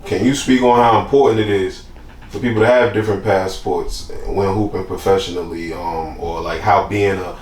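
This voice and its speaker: Low-voiced casual male interviewer, roomy sound. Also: fairly even intonation, normal speed, a little mellow. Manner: slangy, informal. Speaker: interviewer, host. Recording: roomy, distant mic with background noise.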